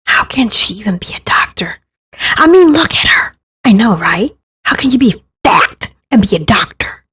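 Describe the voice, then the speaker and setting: A woman's voice: medium pitch, big pitch swings, normal speed, fairly bright tone, slightly rough, exaggerated voice. A woman voicing cruel, mocking gossips from a nightmare in a visual novel, with a sneering manner.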